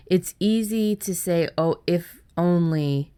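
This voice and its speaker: A woman hosting a podcast in loose, casual conversation. Her voice sits very low for a woman, and she talks bluntly, cursing freely and cracking jokes. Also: takes it slowly, dark tone, clear, resonant tone. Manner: blunt, swears freely, jokey. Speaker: podcast host.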